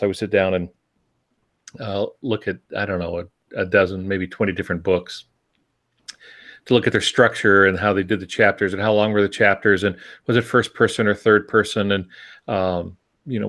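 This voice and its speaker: Older man, lowish voice, wry and folksy. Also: fairly bright tone. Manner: wry, self-deprecating, folksy. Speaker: podcast co-host and novelist. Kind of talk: casual podcast talk giving writing advice.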